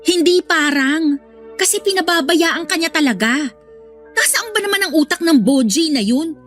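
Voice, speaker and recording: Woman as protective young best friend, high-pitched, blunt, emphatic, audio drama, light background music. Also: animated, moderate pace, a bit bright. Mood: indignant.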